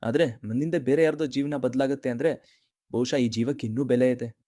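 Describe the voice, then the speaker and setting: A man's voice: medium pitch, steady tone, fairly quick, mellow, dry recording, studio quality, lightly acted. A man playing a humble, good-hearted, downhearted young man, speaking politely and earnestly in a cartoon story. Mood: reflective, hopeful. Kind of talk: dramatic dialogue in a cartoon short story.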